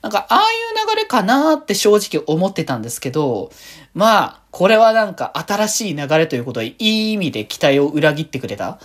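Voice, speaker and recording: A woman's voice: fairly low pitch, big pitch swings, brisk. A woman hosting a solo podcast in a casual, rambling way, as if thinking aloud. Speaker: host of a solo fan podcast.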